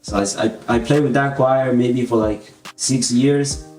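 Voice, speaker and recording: Male, 20s. Informal, slangy interviewee, slightly low voice, roomy sound. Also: steady tone, measured pace, darkish tone. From born in Tanzania to Burundian parents, grew up in the United States from age seven. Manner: informal, slangy, mixes some Swahili into English. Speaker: musician and producer being interviewed. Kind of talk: casual interview chat. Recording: roomy echo with faint music behind.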